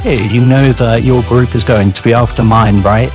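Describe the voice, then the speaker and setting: A man playing a competitive, fussy teenage boy in an animated school drama. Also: mid-range voice, normal speed, over music, close to an everyday voice. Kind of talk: animated game-series dialogue.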